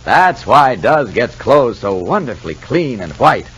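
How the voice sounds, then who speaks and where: A man's voice: medium pitch, very animated, unhurried, a bit bright, husky. A man reading persuasive 1950s radio commercial copy as an announcer.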